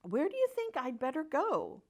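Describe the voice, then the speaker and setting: A woman's voice: high-pitched, huge pitch swings, pitched above the performer's own voice, full character voice. A woman voicing a timid, hesitant young male pig. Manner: hesitant, slow and unsure.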